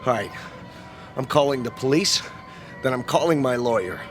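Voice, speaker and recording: A man's voice: medium pitch, animated, normal speed, lightly acted. An actor playing a stubborn, protective, middle-aged suburban father in a radio drama. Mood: angry, alarmed. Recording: music underneath the voice.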